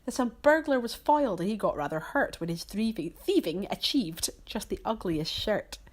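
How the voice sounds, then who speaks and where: A woman reading rhyming storybook narration as a storyteller, with theatrical, drawn-out emphasis. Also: medium pitch, animated.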